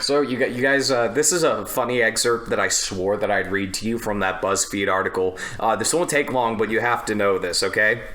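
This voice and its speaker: A man chatting casually as a podcast co-host, telling trivia and anecdotes in a sweary, animated way. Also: fairly low pitch, brisk, hardly any pauses.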